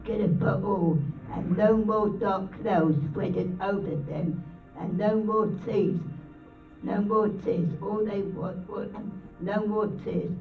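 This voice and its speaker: Halting woman reading in a roomy, distant space. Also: mid-range voice. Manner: halting, repeats words and phrases. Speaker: poet reading own work at a public event. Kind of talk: halting dramatic reading of own poem. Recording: roomy, distant, muffled, faint music behind.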